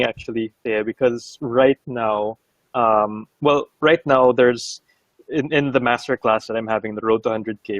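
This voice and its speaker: A man's voice: medium pitch, no room sound, low-quality recording. Filipino man hosting, dry sound. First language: Bisaya. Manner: casual, hesitant, many fillers. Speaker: host. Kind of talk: live interview stream.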